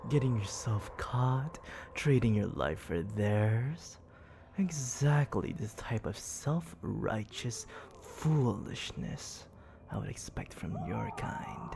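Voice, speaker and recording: A man voicing a menacing, teasing demon lord in an ASMR roleplay, breathy and often dropping to a whisper, condescending and coaxing toward a captive. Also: mid-range voice, noisy background. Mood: scornful. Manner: slow, taunting, condescending, coaxing.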